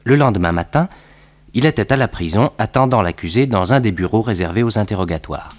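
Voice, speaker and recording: A man's voice: on the low side, expressive, fairly quick. A man narrating a 1960s French radio detective serial as a sober storyteller, in scripted style. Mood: measured, suspenseful.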